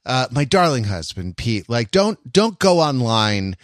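A man co-hosting a podcast in casual discussion, halting and often interrupting himself as he works through a thought. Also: medium pitch, sing-song, no echo, clean studio sound. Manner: rambling, halting, self-interrupting. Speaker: podcast co-host.

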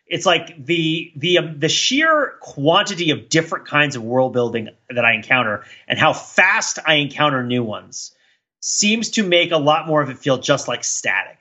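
Bright-voiced man rambling on a podcast. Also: on the high side, very animated, lo-fi sound. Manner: rambling, halting, repeats words while thinking aloud. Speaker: podcast co-host. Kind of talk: casual reflective podcast discussion.

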